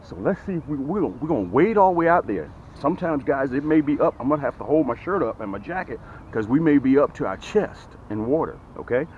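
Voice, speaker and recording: A middle-aged man recording outdoors at a lakeshore, talking straight into a camera held close, pitching his fishing gear and repeating "okay?" and "guys" often. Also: quick pace, highs cut off, a little hiss or hum. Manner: animated, repeats "okay?" and "guys", gestures with hands. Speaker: fishing vlogger and tackle seller. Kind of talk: energetic sales pitch and fishing vlog. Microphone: camera held close to the face.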